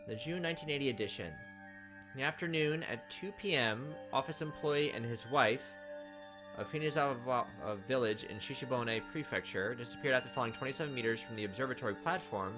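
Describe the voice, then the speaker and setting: Male gaming host, lively pitch movement, narrates on-screen text and drops casual asides, music underneath. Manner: informal, playful asides. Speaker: let's play host. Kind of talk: reading game text aloud with casual commentary. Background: game music.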